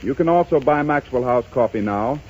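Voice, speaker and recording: An American man sells on 1930s radio with emphatic, persuasive delivery. His voice is slightly rough and rises and falls freely. The recording is dull and old. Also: medium pitch, expressive, fairly quick, a little mellow. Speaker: commercial announcer. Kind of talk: 1930s radio advertisement, scripted.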